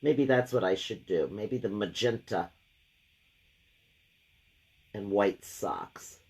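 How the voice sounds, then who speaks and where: A woman hosting a live craft painting session. She has a deep, gravelly voice left over from a cold, and she chats and thinks aloud while she works. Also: lively intonation, slow pace, darkish tone. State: lingering gravelly voice after a cold. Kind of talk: casual live painting demo.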